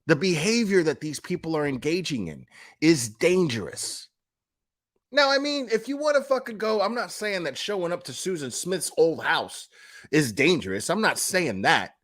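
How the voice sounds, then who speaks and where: A man with a slightly high voice talks off the cuff like an online streamer. His delivery is blunt and emphatic, with coarse, opinionated phrasing in a casual commentary style. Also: big pitch swings, normal speed, fairly bright tone. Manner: blunt, foul-mouthed, emphatic.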